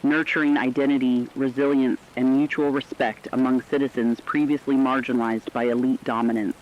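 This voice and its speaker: A man's voice: mid-range voice, little pitch movement, fairly quick, natural voice. Man as an earnest radio correspondent, muffled sound. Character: earnest radio news correspondent in a dystopian broadcast. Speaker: radio news co-anchor. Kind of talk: scripted radio news narration.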